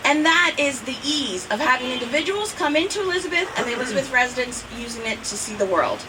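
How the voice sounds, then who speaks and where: A woman's voice: high-pitched, lively intonation, quick pace. An American woman giving an upbeat, conversational public speech as a state politician. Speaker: state assemblywoman. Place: outdoors in rainy cold weather. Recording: distant mic, outdoor rain noise.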